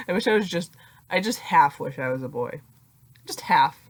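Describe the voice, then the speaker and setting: Low-voiced young woman, chatty vlog. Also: very animated, normal speed, no room sound. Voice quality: deeper voice. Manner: chatty, many "like" fillers, laughs often. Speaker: vlogger. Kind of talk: casual confessional vlog.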